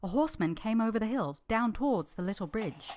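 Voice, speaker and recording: A woman playing an earnest, modest young governess in a vintage-style radio drama, measured, polite and literary in delivery. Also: on the high side, animated, darkish tone, natural voice. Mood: reflective. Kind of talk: first-person narration in vintage radio drama.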